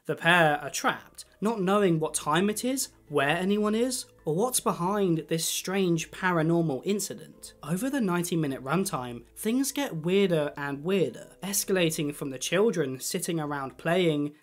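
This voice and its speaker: A man's voice: a little high, animated, normal speed, few pauses. A man narrating a scripted, conversational video essay as a YouTuber, with wry, jokey asides.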